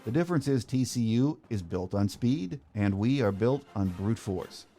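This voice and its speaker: A man's voice: on the low side, lively intonation, moderate pace, mellow, soft background music. A man hosting a sports podcast, reading a prepared opening and then interviewing his guest with easy fillers. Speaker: podcast host.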